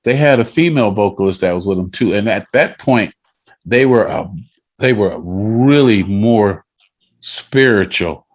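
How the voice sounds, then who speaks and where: A man's voice: lowish voice, expressive, a little slow. A man hosting a casual music interview on a video call, talking like a knowledgeable fan. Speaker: radio show host.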